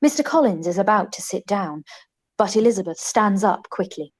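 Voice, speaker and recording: Female narrator for a live-read radio play, medium-pitched, scripted scene-setting. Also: expressive, normal speed, slightly rough. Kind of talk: scripted stage-direction narration.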